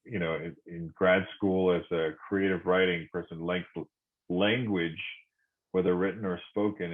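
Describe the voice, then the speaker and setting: A middle-aged man from Korea reflecting in conversation, speaking thoughtfully with many pauses and restarts. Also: sits low. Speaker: podcast guest. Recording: phone-like narrow sound.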